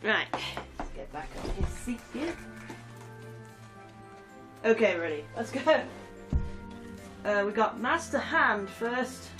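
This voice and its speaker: A woman's voice: on the high side, very animated, slow, pauses often, far from the mic, over music. Giggly stuffy-nosed woman with a cold. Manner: giggly, chatty, reads text aloud with asides. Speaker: gaming YouTuber. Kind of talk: casual game commentary, reading trophy descriptions aloud.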